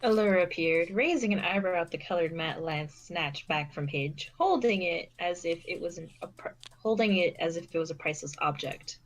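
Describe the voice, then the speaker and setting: A woman's voice: fairly low pitch, normal speed, few pauses, rough recording. A woman giving scripted narration as the narrator of an amateur fan fiction reading.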